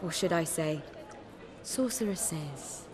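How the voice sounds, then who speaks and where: A woman's voice: low-pitched, animated, slow, crowd noise. An actress playing a poised young female bard with a florid, playful way of talking. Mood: playful, admiring.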